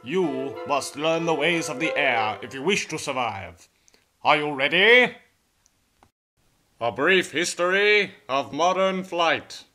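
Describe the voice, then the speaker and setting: A man's voice: on the high side, animated, takes it slowly, bright, forceful tone, soft background music. A man narrating as a theatrical, stern WWI flight instructor, pompous and declamatory, with old-fashioned wording in the style of a vintage military training film.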